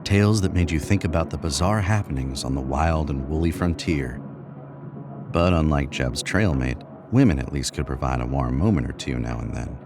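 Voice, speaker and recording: A man's voice: a bass voice, normal speed, background noise. A man narrating a scripted Western story.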